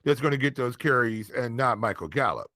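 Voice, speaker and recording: Bright-voiced American man talking fantasy football. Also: mid-range voice, animated, no room sound, studio quality. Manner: halting, repeats words and phrases. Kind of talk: casual sports chat.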